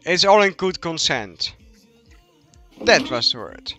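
Man, game streamer, rather high voice, lively pitch movement, casual banter. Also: takes it slowly, music in the background. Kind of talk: casual banter while gaming on a livestream.

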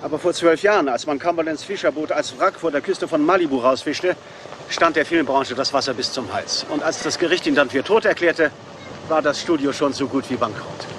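Ein Mann als zynischer junger Studioboss. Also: male voice, fairly high pitch, fairly bright tone, a little hiss or hum, close to an everyday voice. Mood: sardonic. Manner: brisk, sardonic, businesslike. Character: young, cynical film studio boss.

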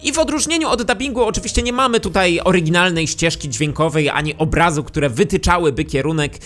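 A man's voice: on the high side, fast, fairly bright tone. A man in his thirties presenting to camera as a YouTube host, speaking close into a studio microphone. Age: 30s. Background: music.

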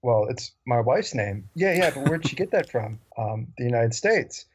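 A man's voice: mid-range voice, expressive, moderate pace, a little hiss or hum. A man co-hosting a podcast, chatting casually and telling anecdotes in a wry way. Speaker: podcast co-host. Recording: dull, lo-fi voice recording.

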